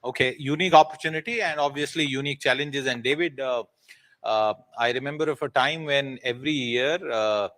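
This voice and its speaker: An Indian-accented man moderates a live conference panel. He speaks haltingly at a middle pitch. He frames his remarks as questions to audience and guests. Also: fairly bright tone.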